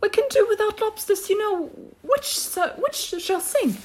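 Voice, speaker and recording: Shrill, excitable Mock Turtle creature. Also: female voice, unhurried. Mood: eager. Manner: exclamatory, effusive. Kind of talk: storybook character voice.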